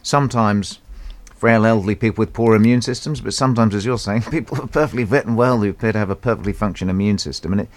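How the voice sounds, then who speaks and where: Man hosting the interview, low-voiced, fast and engaged. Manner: brisk, engaged questioning. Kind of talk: broadcast interview.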